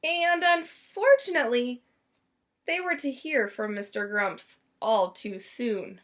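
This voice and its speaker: A woman's voice: a little high, slow pace. A woman reading a children's story aloud expressively, stretching and stressing words for drama. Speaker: storybook reader.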